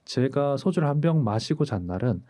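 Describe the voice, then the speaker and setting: A man in his forties, a doctor hosting a casual chat, explaining things in a lively storytelling way and acting out quoted lines. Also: mid-range voice, normal speed. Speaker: urologist host.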